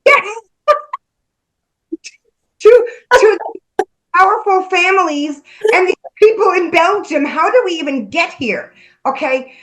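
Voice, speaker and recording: A woman's voice: very high-pitched, expressive, slow, long pauses, poor audio. A woman speaking as a spiritual medium in casual conversation, earnestly relaying messages she says she hears.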